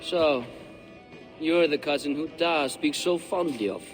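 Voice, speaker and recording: An actor playing a mocking, defiant seventeen-year-old prince in a video game. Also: male voice, a little high, measured pace, faint music behind, natural voice. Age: teens.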